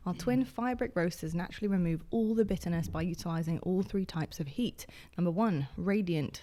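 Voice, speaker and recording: Woman, podcast co-host, chatty and gushing, gravelly, mellow tone. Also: lowish voice. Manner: chatty, gushing, reads label copy aloud. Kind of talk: casual chat. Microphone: broadcast in-ear monitors.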